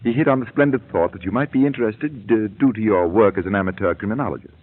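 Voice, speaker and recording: A tinny vintage radio recording. A man plays an earnest professor with a husky voice, rattling quickly through formal, wordy phrases in old radio style. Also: on the low side, lightly acted. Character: earnest, formal male university professor with urgent purpose. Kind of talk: 1940s American radio acting.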